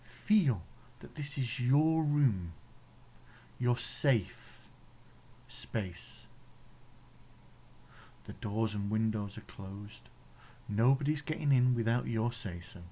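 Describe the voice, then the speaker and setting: Slow, very mellow English man. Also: mid-range voice, expressive, a little hiss or hum. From England. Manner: gentle, soothing, instructional, with pauses. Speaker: meditation guide. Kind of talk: guided meditation.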